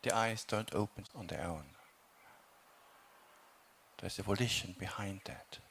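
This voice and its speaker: A man teaching a guided meditation, speaking in calm, measured phrases with long pauses between them. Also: lowish voice, gravelly, slight background noise. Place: meditation hall.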